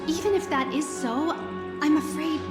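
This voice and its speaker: A young female hotel receptionist played by an actress in a video game, formal and apologetic. Her high, polite voice rings with long hall reverb over music. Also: moderate pace, lightly acted.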